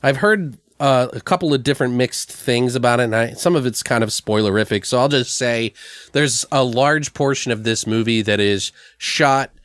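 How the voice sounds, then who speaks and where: A man's voice: medium pitch, moderate pace, a bit bright, dry recording, clean studio sound. A man co-hosting a podcast, chatting casually through entertainment news in an informal, slangy, sweary way. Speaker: podcast co-host. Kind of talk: casual chat about horror film news.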